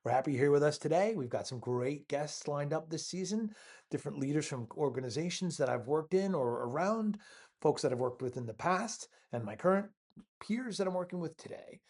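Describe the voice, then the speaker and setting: A man's voice: fairly high pitch, a little mellow, slightly rough. A man hosting a podcast, greeting listeners and asking a guest questions in a friendly, rambling way with many fillers. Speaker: podcast host. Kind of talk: casual interview hosting.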